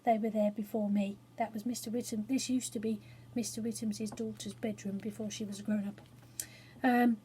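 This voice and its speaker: A woman's voice: medium pitch, level tone, normal speed, warm tone, slight background noise, low-quality recording. An English woman chatting informally and reading a storybook aloud to children, self-deprecating and explaining things simply. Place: small spare room study at home.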